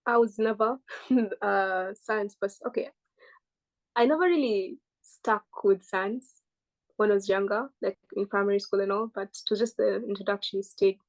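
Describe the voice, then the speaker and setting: A woman's voice: medium pitch, poor audio. A woman from Kenya with a British-sounding accent, a guest giving casual, rambling, self-deprecating interview answers. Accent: British-sounding English.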